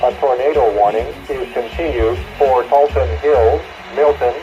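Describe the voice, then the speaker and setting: A synthetic man's voice reading formal emergency weather alerts in a measured, impersonal way over a radio. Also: mid-range voice, steady tone, muffled recording, over loud music. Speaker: automated weather radio announcer. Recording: weather radio played in a room.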